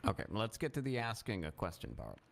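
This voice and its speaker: A man improvising rulings as a judge in a roleplay trial, measured and authoritative. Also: on the low side, huge pitch swings, gravelly.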